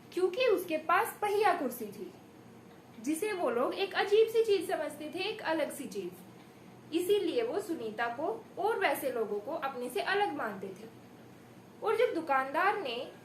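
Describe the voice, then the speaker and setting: A woman giving a demonstration lesson as a primary school teacher, expressively telling a story and prompting pupils with questions and praise. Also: sits high. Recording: echoey room with faint background noise.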